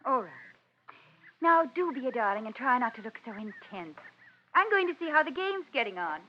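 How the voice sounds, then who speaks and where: A woman's voice: on the high side, sing-song, normal speed. An actress playing an airy, fond but dismissive young society woman in a 1950s radio drama, light and condescending. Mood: patronizing. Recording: muffled vintage radio recording with hiss.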